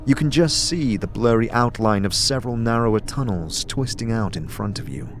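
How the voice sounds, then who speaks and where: A man's voice: medium pitch, lively intonation, moderate pace. A man delivering dramatic scripted narration as the game master of a fantasy audio drama, over music.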